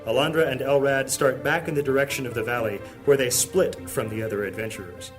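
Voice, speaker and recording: A man narrating a high fantasy audio drama as a solemn, scripted storyteller. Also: steady tone, fairly quick, soft background music, lightly acted, low-quality recording.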